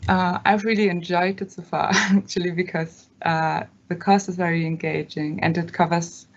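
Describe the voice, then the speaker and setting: A woman's voice: on the low side, little pitch movement, moderate pace, dull audio. A young woman from Germany, whose first language is German, talking casually and hesitantly as a student ambassador. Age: young adult. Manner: hesitant, many fillers, laughs between phrases. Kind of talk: casual talk at an online open day.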